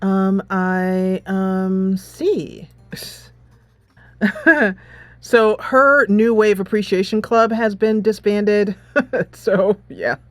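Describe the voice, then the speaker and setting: A woman's voice: medium pitch, extremely slow, no echo. A woman giving casual, chatty commentary on a game playthrough, giggly and playfully amused. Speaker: gameplay host and streamer. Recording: soft music behind the voice.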